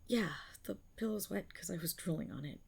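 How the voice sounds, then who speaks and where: A woman voicing a timid young half-dragon rogue in an intimate ASMR roleplay, breathy and hesitant, anxious but proud, stammering and rambling. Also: on the low side, animated, brisk, darkish tone, heavily acted. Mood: sheepish. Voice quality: breathy, often slipping toward a whisper. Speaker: roleplay voice performer.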